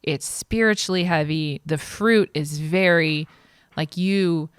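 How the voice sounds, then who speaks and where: A woman from Israel with an American accent speaks in a smooth, clear voice, recorded close and dry. She tells her story as a podcast guest in a casual, animated way, full of fillers and sudden lifts in pitch. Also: takes it slowly, no room sound. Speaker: ministry leader, podcast guest. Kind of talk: casual interview conversation.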